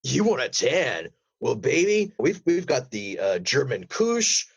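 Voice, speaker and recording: A man's voice: medium pitch, very animated, a little slow, slightly rough, heavily acted. A man playing a paranoid, chummy, laid-back stoner surfer with a drawling, conspiratorial manner in improvised comedy. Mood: chummy, salesy. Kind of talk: improvised comedy role-play.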